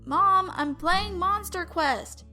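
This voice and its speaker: A woman's voice: very high voice, slow pace, sharp tone, soft background music, pitched above the performer's own voice, full character voice. A woman voicing an irritable teenage boy gamer in a story reading, whiny and impatient. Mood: annoyed.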